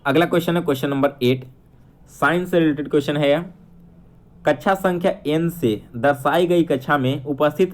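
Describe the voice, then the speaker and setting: A male teacher with a fairly high-pitched voice walks through exam questions. He reads each one out, then explains the answer in an instructional way. He speaks straight to his students and often checks that they follow. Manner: instructional, addresses viewers directly with frequent check-ins.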